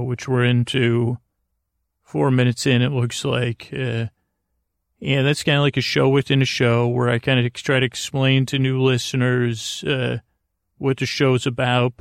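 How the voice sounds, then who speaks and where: A man with a gravelly, creaky voice hosts a sleep podcast. He rambles and stammers his way through long digressions, often restarting. The sound is close and dry, recorded in a closet. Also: mid-range voice, dry recording. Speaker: podcast host.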